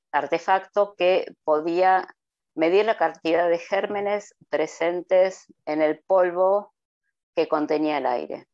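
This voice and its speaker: A woman's voice: fairly low pitch, a little mellow, no room sound, highs cut off. A middle-aged woman, explaining on a podcast over a video call, with frequent hesitant fillers. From Argentina. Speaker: guest presenter. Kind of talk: conversational podcast talk.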